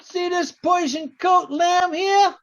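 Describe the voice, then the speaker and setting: An actor playing a vengeful, taunting crook in slangy 1940s radio style. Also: male voice, very high-pitched, steady tone, slow pace, a bit bright, exaggerated voice. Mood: softly menacing. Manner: slangy gangster talk, taunting. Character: menacing, double-crossed male crook, coldly vengeful. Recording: dull, lo-fi video-call audio.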